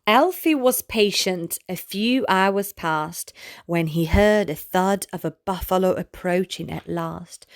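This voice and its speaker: A woman reading a children's bedtime story aloud in a gentle, expressive way. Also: mid-range voice.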